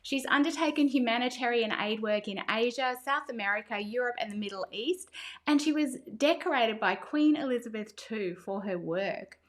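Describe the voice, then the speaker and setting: Woman, podcast co-host, fairly high voice, brisk intro read, list-like. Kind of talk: reading a guest introduction.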